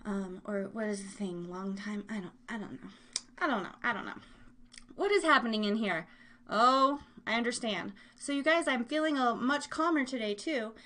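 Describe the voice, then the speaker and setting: A woman's voice: on the high side, normal speed, bright, forceful tone, a little hiss or hum. A woman hosting a live online show, chatting casually in a bubbly, playful, scattered way. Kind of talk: casual rambling livestream chat.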